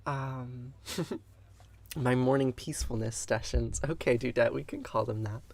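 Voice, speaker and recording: A man hosting a live-streamed lesson, explaining scripture in an earnest, chatty way and stopping to answer viewers with fillers and quick laughs. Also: medium pitch, steady tone, vocal fry, a little mellow. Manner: explanatory, with frequent fillers and restarts. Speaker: livestream host teaching scripture. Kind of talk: live-streamed lesson mixed with chat replies.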